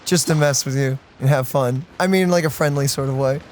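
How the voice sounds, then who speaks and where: A man's voice: mid-range voice, brisk, a little mellow, a little hiss or hum. A man giving casual, teasing let's play commentary as a gaming streamer.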